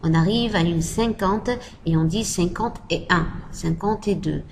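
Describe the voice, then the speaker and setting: A woman giving a French lesson, talking slowly and repeating model phrases for learners. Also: on the low side, creaky, a little hiss or hum. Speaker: French teacher. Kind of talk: slow spoken language lesson.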